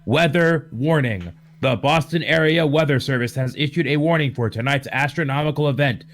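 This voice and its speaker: A man voicing a formal automated emergency alert in an amateur radio drama, slow and official. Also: a little high, close to an everyday voice. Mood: stern, official.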